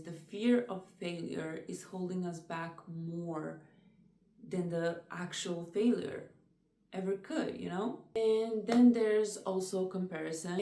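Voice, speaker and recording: A young woman talking to camera about personal struggles in a soft, reflective way, with many "like" fillers. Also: fairly low pitch, reverberant, distant mic. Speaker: vlogger.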